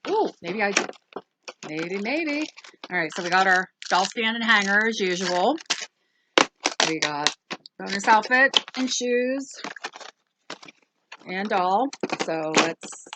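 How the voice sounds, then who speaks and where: A woman's voice: mid-range voice, lively intonation, slow pace, long pauses, very noisy. A woman hosting a casual doll review, rambling along with lots of ums and self-corrections. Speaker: toy review channel host.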